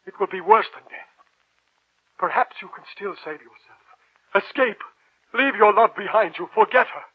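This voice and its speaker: Grave, husky fatherly professor, old radio. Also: high-pitched. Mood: urgent. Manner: earnest, deliberate, solemn warnings. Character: concerned older male professor, grave fatherly mentor. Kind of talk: 1940s radio drama acting. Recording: muffled vintage 1940s radio recording.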